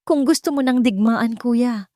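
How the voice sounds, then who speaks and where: A woman's voice: on the high side, a little slow, mellow, no room sound, clean studio sound, voice pushed up, close to an everyday voice. Woman voicing a taunting, vengeful male crime villain. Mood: bitter, menacing. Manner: threatening, taunting.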